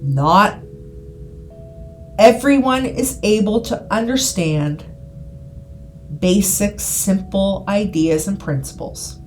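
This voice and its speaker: A woman reading a scripted guided hypnosis session in a soothing, deliberate manner, with repeated phrases and pauses. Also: mid-range voice, lively intonation. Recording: voice over background music. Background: background music.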